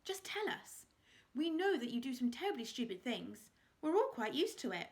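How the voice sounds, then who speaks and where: A woman's voice: high-pitched, sing-song, moderate pace, voice pushed up. A woman voicing a bossy, blunt young aristocratic girl who talks down to her father. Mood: scornful. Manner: scornful, sharp-tongued.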